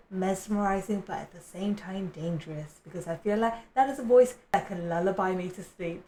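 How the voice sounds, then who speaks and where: A woman hosting a casual reaction video, commenting in a gushing, expressive way. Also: mid-range voice, echoey room, slight background noise.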